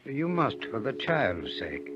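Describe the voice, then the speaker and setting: A man playing a kindly, sober country doctor in old radio drama, calm and reassuring. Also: medium pitch, takes it slowly, very noisy. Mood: grave, reassuring. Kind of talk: 1930s radio acting. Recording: dull old radio recording. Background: prominent music.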